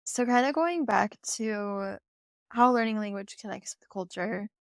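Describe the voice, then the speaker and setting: A woman hosts a casual podcast interview, asking questions and affirming her guest. Her middle-pitched voice carries a lot of vocal fry. It is recorded dry and close. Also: measured pace, no room sound. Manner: friendly, asks questions, affirming.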